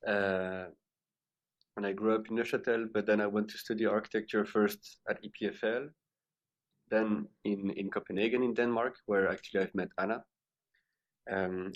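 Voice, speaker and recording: A man from French-speaking Switzerland, an architect in his thirties, answering podcast questions in a hesitant, thoughtful way with many fillers. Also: on the low side. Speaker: architect, guest.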